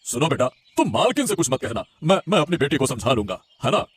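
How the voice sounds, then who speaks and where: A man as a meek poor father, medium-high pitch. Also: very animated, fast, natural voice. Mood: resigned, pleading. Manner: pleading, soothing, submissive. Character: meek, resigned, poor middle-aged father. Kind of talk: Hindi cartoon story dialogue.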